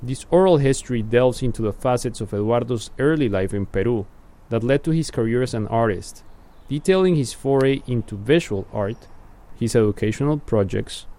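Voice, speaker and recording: A man hosting an oral history, reading a formal introduction and then asking questions in a measured way. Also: mid-range voice, mellow. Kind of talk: read introduction, then interview.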